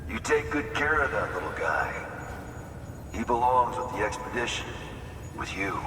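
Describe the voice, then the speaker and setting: An actor playing a folksy, plainspoken middle-aged port official with a drawl in a video game. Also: male voice, medium pitch, sing-song, normal speed, lightly acted. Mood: earnest, resigned. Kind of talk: video game cutscene acting. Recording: echoey hall, distant, background noise.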